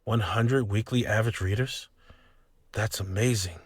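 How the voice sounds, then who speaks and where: A man's voice: fairly low pitch, normal speed, slightly rough, no echo. An actor playing a mild, polite young male gamer with an understated manner in a visual novel game.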